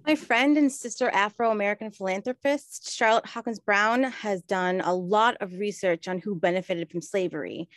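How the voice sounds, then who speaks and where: An actress playing an earnest, educated woman who explains things in a measured way in a 1920s radio play. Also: mid-range voice, no room sound, natural voice. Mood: grave.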